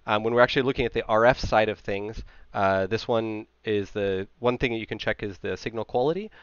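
A man, around his thirties, gives an informal technical slide presentation into a headset, explaining haltingly with frequent filled pauses. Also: fairly low pitch, smooth, no echo, muffled recording. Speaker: conference presenter.

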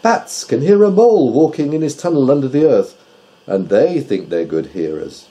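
Guy doing a fussy know-it-all owl for a kids story, raised pitch, very precise, really animated. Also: normal speed, lightly acted. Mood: insistent, boastful. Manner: emphatic, precise, self-assured. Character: male owl with keen hearing, exacting and haughty. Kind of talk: children's storybook character voice.

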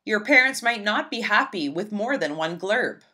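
A woman reading a children's picture book aloud in an expressive, emphatic storybook style. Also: medium pitch, moderate pace. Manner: animated, emphatic, storybook delivery.

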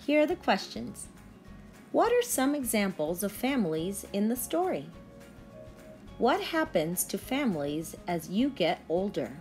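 A woman's voice: mid-range voice, sing-song, unhurried, a little mellow, faint music behind. A woman in her thirties, a teacher reading aloud and talking to young pupils in simple, instructive phrasing. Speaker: early-grade teacher. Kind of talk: children's read-aloud and lesson talk to pupils.

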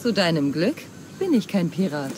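A woman's voice: lowish voice, big pitch swings, normal speed, darkish tone. An actress playing a self-assured, dryly teasing female mercenary in a dramatic German game dub, speaking with confidence. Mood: cool, confident. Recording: clear ambient noise.

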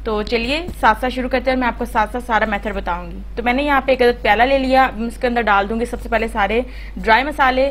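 A woman's voice: fairly high pitch, quick pace, a bit bright. A woman hosting a home cooking channel, explaining a recipe step by step in a chatty, instructive voice-over full of fillers.

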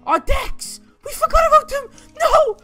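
A man improvising a casual Minecraft roleplay, excitable and often repeating words in stammered bursts. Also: very high-pitched, a little slow, over music. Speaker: roleplay player.

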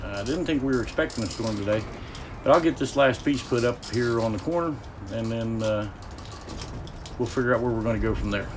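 A man's voice: medium pitch, brisk, background noise. A man in his fifties vlogging outdoors from a greenhouse frame, chatting plainly and explaining his work step by step to the camera. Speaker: homesteading vlogger. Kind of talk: casual to-camera vlog. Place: outdoors in a roofless greenhouse frame on a windy day.

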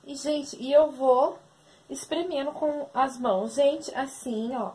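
A woman's voice: high voice, takes it slowly, background noise. A young woman from Brazil chatting casually through a hair tutorial from her bedroom, talking to the camera the whole time. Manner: chatty, says "gente" and "meninas" constantly. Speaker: beauty vlogger. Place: small bedroom with shelves, indoors.

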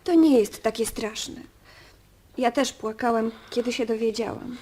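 Young girl, high voice, earnest, reassuring, radio drama. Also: a little slow, dark tone, breathy edge. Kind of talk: Polish radio drama acting.

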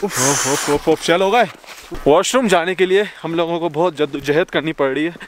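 A young man vlogging casually outdoors on a snowy mountain road, chatty and excitable, talking to a camera held at arm's length. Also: a little high, normal speed. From Pakistan. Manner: chatty, excitable, laughs often. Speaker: travel vlogger. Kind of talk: casual travel vlog. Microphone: handheld camera at arm's length. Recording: outdoor noise, wind and vehicles.

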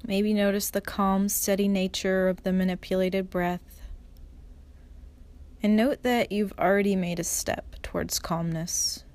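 A woman leading a guided meditation as an instructor, speaking in a calm, measured, soft way with pauses. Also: mid-range voice, dry recording.

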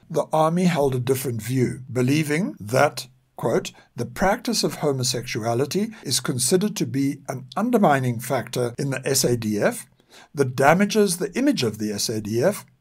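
An older man reads scripted historical narration in a measured, formal and serious way. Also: mid-range voice, husky. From South Africa. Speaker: narrator and podcast author.

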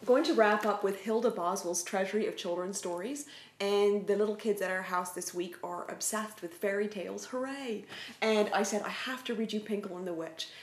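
A woman's voice: medium pitch, normal speed, a little hiss or hum. A woman in her forties, co-hosting a casual family vlog and talking about books. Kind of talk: casual book chat to camera. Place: indoors, living room on a couch. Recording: roomy indoor sound.

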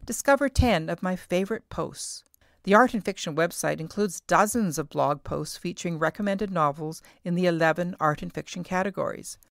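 A middle-aged woman reading scripted podcast narration as host, in a measured, carefully enunciated way. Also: lowish voice, gravelly, dry recording.

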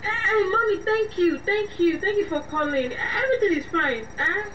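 Brief: a woman as a tearful girlfriend in a radio drama, high voice, muffled lo-fi take. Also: animated, moderate pace. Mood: flustered. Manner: flustered, pleading, voice cracking with tears. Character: young woman secretly hiding her lover from her mother. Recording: slightly distant, muffled, faint hiss.

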